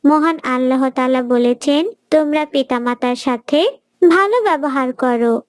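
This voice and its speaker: Cartoon casting: woman as devout little girl, high-pitched, earnest and gently preachy. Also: a little slow, no echo, clean studio sound. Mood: earnest advising. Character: pious, kindly little girl who gently advises. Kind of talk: children's moral cartoon voice acting.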